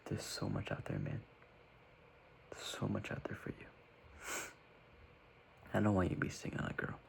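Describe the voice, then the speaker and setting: A man's voice: fairly low pitch, very animated, normal speed, creaky, a little hiss or hum, low-quality recording. A young man giving earnest, rambling advice in a whispery voice, circling back and repeating himself.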